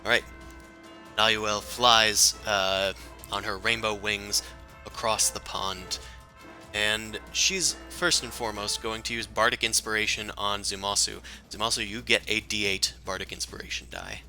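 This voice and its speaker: A man narrating as game master in a tabletop game, chatty and relaxed with many fillers. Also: on the low side, measured pace, faint music behind.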